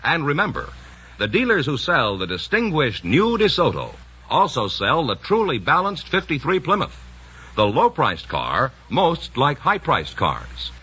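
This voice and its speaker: A man's voice: a little high, huge pitch swings, measured pace, dull audio, loud music behind the voice. An American man announcing in the polished, emphatic sales style of a 1950s radio commercial. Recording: band-limited old radio broadcast.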